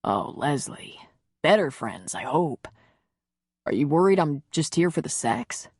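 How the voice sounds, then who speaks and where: A big, tender romantic hero, as a female audiobook narrator plays him, murmuring to his lover. The voice is deep and husky, with a reassuring, amorous manner and a close, dry sound. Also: big pitch swings, measured pace, darkish tone, dry recording, heavily acted.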